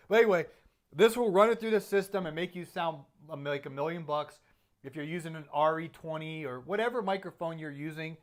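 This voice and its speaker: Chatty man reviewing podcast gear. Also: fairly high pitch. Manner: chatty, self-deprecating, many fillers and restarts. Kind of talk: casual product review.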